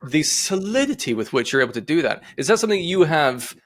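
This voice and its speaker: A man hosts a music podcast, his speech brisk and quick. He asks long questions that wind through several thoughts before landing. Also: a little high, sing-song. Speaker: podcast host and interviewer. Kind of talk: interview questions.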